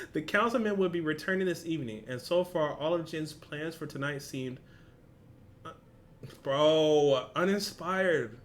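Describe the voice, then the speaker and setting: A man's voice: on the high side, lively intonation, measured pace, long pauses. A young man hosting a reaction video, reading a story aloud, stumbling over words and laughing at himself.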